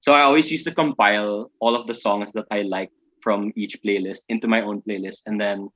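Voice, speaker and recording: A man's voice: lowish voice, steady tone, fairly quick, sharp tone. A young Filipino man with a Filipino accent hosting a casual interview, chatty and upbeat, full of "like" fillers. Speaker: podcast host. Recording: dull lo-fi sound.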